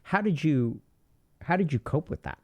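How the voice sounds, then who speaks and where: A male interviewer with a slightly raised pitch who keeps the conversation going with curious questions. He probes gently and drops in playful remarks along the way. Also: animated, moderate pace, highs cut off. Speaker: interviewer host. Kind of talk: casual interview chat.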